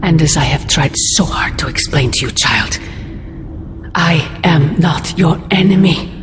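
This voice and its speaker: A woman's voice: deep voice, a little slow. A woman voicing an ancient, imperious sorceress mother in a video game, speaking in grandiose, formal, ominous phrases. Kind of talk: dramatic video game cutscene acting. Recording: loud music underneath.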